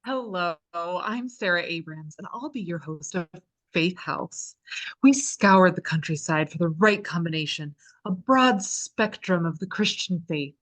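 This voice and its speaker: A woman playing a chipper, sardonic reality show host in a scripted table read, presenting brightly and slipping into sarcastic asides. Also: on the low side, measured pace, rough recording. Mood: upbeat presenting.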